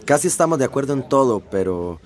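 Radio drama: mild, hesitant committee man, played by an actor, deferential, medium pitch. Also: sing-song, fast talker, lightly acted. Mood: tentative. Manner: tentative, deferential.

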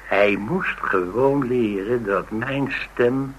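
A man's voice: medium pitch, slow, muffled recording, a little hiss or hum, close to an everyday voice. An actor playing a rugged, blunt man, telling of his exploits in a wry, colloquial radio drama style. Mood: grim relish. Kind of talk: Dutch radio drama dialogue, conversational storytelling.

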